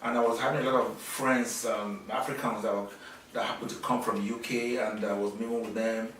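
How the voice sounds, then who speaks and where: A middle-aged man from Nigeria talks slowly in a lowish voice, stopping and restarting often as he answers an interviewer. He sounds set back from the mic in an echoey room. A faint hum of ambience runs behind him. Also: little pitch movement, fairly bright tone, distant mic. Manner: halting, many fillers and restarts. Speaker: interviewee, businessman.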